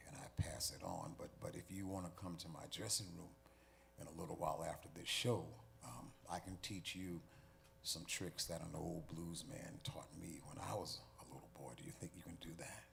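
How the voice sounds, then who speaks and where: A man voicing an elderly male blues musician with a slow, easygoing drawl. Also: deep voice, vocal fry, a bit bright, a little hiss or hum, heavily acted. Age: older.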